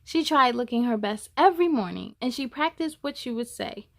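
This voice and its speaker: A woman reading a children's picture book aloud as a story-time host, with a deliberate, expressive storytelling delivery. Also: a little high, creaky.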